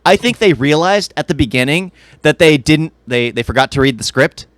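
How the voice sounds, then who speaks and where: A man's voice: fairly high pitch, lively intonation, moderate pace, a bit bright, no echo. A man co-hosting a podcast, chatting casually about films in a wry, opinionated, conversational way. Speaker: podcast co-host.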